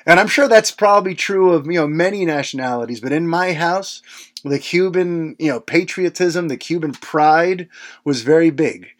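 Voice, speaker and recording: A man's voice: fairly high pitch, normal speed. Nostalgic man in his late thirties, talking casually. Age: late 30s. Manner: conversational and nostalgic, with frequent "you know" asides. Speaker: podcast host. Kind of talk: casual reflective monologue.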